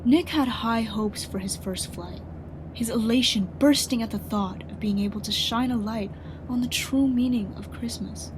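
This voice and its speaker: A woman narrating a radio drama from a script in a husky, breathy voice. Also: fairly high pitch, normal speed, background noise.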